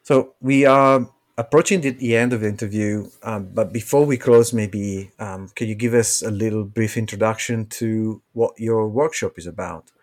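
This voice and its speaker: Hesitant man with a fairly low voice. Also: steady tone. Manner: hesitant, halting. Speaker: interviewer, co-host. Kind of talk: conversational interview questions.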